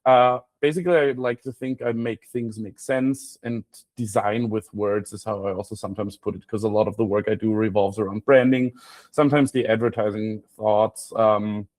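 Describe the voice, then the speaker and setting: A man's voice: fairly low pitch, steady tone, normal speed, darkish tone, dry recording. Wry Austrian man presenting informally. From Graz, Austria. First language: German. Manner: self-deprecating, wry joking. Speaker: guest speaker, freelance copywriter.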